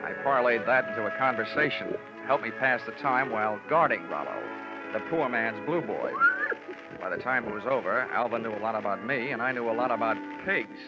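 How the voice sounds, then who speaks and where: An actor playing a sardonic, flirtatious insurance investigator in a 1940s radio drama, glib and wry. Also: male voice, medium pitch, fairly quick, close to an everyday voice. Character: wisecracking, flirtatious hard-boiled male insurance investigator. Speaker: lead actor and narrator. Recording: muffled vintage radio broadcast with music.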